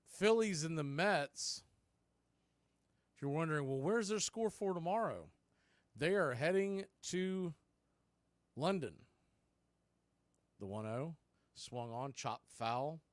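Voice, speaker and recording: A man doing live baseball play-by-play, mixing clipped pitch calls with conversational asides about other games. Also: lively intonation, takes it slowly, long pauses, no room sound. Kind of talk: live sports play-by-play commentary with scoreboard asides.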